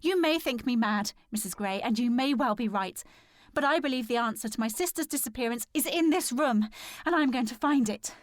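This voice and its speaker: A woman playing a distressed, determined young gentlewoman in a period audio drama, speaking formally and earnestly. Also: a little high, lively intonation, brisk, dry recording, close to an everyday voice. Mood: insistent, determined. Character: distressed, determined young gentlewoman searching for her missing sister.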